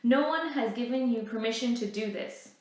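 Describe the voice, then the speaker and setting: A woman's voice: a little high, moderate pace, darkish tone, very echoey, close to an everyday voice. A woman voicing a firm, courteous male resistance leader in a read-aloud story, with a formal, polite manner.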